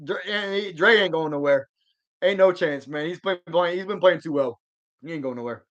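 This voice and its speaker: A man's voice: fairly high pitch, normal speed, a bit bright, lo-fi sound. A man hosting a live sports stream, chatting casually and answering viewer comments in a rambling, slangy way. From Georgia. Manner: laid-back slang, rambling restarts.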